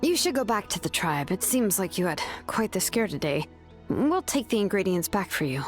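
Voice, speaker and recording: A woman's voice: mid-range voice, normal speed, close to an everyday voice. A woman voicing a confident, easygoing young heroine with a big appetite, over game music. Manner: breezy and lively.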